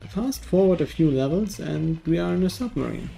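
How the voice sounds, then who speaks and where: A man's voice: a little high, expressive, moderate pace, gravelly, mellow, faint music behind, rough recording. A man from Germany, narrating a casual game review in a dry, wry manner.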